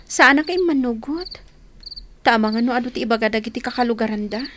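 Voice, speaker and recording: A woman's voice: on the high side, fairly quick, noisy background, close to an everyday voice. A woman with a Filipino accent playing a loyal, wistful young office worker in a radio drama. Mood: confiding.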